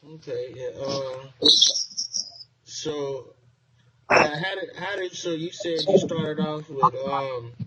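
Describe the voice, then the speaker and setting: A man interviewing a guest, asking casual, unhurried questions full of fillers. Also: a little high. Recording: muffled lo-fi line with background noise.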